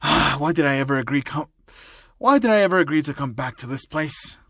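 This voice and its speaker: A man's voice: fairly high pitch, animated, brisk, a bit bright, no echo, clean studio sound. Man playing a blunt, grumbling old mentor. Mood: exasperated. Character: gruff, scolding old male mentor, exasperated.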